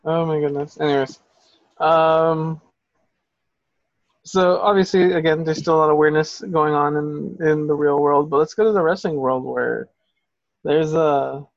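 Guy cohosting a podcast, kinda high voice, swears a lot, rambles, dull dry sound. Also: steady tone, measured pace, no echo. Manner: profane, rambling, heated. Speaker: podcast co-host. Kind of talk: casual podcast chat.

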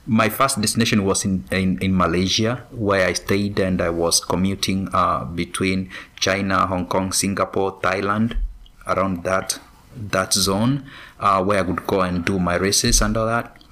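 A man from Kenya, a former professional runner, answering interview questions in a measured way with frequent pauses. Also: low-pitched, steady tone, a bit bright. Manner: measured, many pauses and ums.

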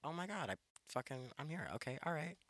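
A man's voice: a little high, expressive, fast talker, a bit bright, no echo. A man in his twenties from Ethiopia, chatting reflectively as a guest in a backyard conversation, with many fillers. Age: 20s. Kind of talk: casual conversation. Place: outdoors in a backyard.

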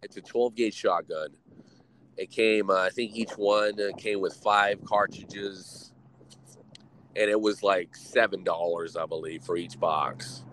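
A man's voice: sits low, unhurried, slight background noise. Rambling, foul-mouthed man. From Texas, US. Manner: rambling, profane, animated storytelling. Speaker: streamer host. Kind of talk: casual live-stream chat.

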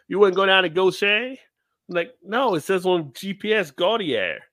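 A man in casual chat, laughing often and telling stories with animated energy. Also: sits high, measured pace, dry recording. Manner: laughs often, lively storytelling.

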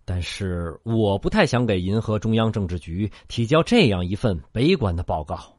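A man's voice: lowish voice, animated, normal speed, no echo, clean studio sound. A man playing a cautious, optimistic space-fleet data analyst in a science-fiction radio drama. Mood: reluctant.

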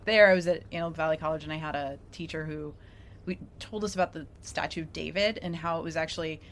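A woman with a slightly low voice talks as a podcast co-host. She is informal and enthusiastic, chatting easily. There is faint noise in the background. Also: fast talker. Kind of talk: casual chat.

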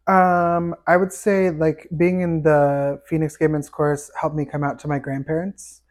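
A man with a light, fairly high tenor voice talks casually as a podcast guest. He rambles through stories with plenty of fillers. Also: flat delivery, moderate pace. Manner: chatty, storytelling with fillers and laughs. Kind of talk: casual interview chat.

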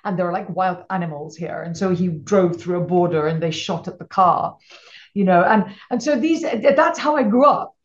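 Middle-aged woman, lowish voice, rambling restarts, dull lo-fi audio. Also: normal speed. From Malawi. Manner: rambling, frequent restarts, animated storytelling. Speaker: entrepreneur guest. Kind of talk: casual interview chat.

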